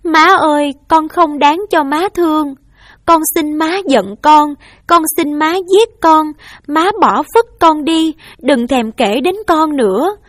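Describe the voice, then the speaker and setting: Woman reading as a young daughter, tearful, pleading, high and loud, close and dry. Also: no echo. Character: young daughter, remorseful, begging her parents.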